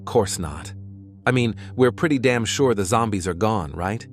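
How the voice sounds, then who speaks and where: A man's voice: on the low side, lively intonation, measured pace, faint music behind. A man playing an anxious, weary survivor in an audio comic, sounding uneasy and subdued. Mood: weary unease. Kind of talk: audio comic dramatic acting.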